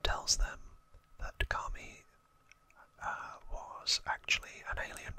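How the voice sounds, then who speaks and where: An ASMR host speaks entirely in a breathy whisper, taking their time with every phrase. The sound is crisp and bright, and the delivery is hushed and unscripted, like an informal recap of trivia. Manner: slow, hushed, unscripted explaining.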